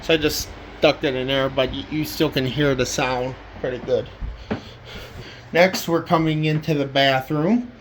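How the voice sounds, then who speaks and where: A man giving a casual home video walkthrough as a hobbyist, haltingly, often trailing off and repeating words, recorded indoors on a handheld camera. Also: medium pitch. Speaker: hobbyist showing a weather radio collection. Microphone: handheld camera microphone. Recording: steady background noise, handheld home camera.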